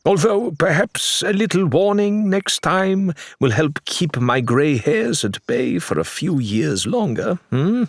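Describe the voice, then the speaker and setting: A man voicing a genial, greying older adventurer in an audiobook, courteous and gently teasing with a lilting politeness. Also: on the high side, animated, takes it slowly, few pauses, dry recording, studio quality, voice pushed up, heavily acted. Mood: happy, gently chiding. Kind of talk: audiobook character voice.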